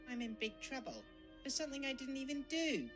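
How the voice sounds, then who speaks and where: A woman's voice: high voice, sing-song, moderate pace, soft background music. A woman voicing a miserable, wronged little male tank engine in a fan cartoon dub, plaintive and downcast. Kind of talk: fan comic dub voice acting.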